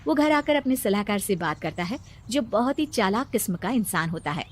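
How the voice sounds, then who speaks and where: A woman narrating a cartoon storybook. She tells it in a plain, even way with a mid-range voice. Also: medium pitch, moderate pace.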